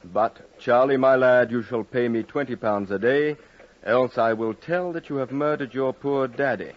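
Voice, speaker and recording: An actor playing a proud, smooth-talking London gentleman, in old-time radio drama style. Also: medium pitch, moderate pace, lightly acted. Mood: declaiming. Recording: dull, hissy, clicking radio recording.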